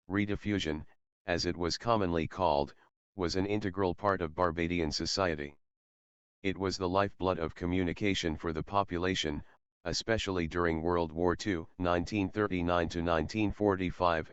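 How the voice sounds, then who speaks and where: A man's voice: deep voice, clear, resonant tone, dry recording, highs cut off. A man reading a scripted documentary narration.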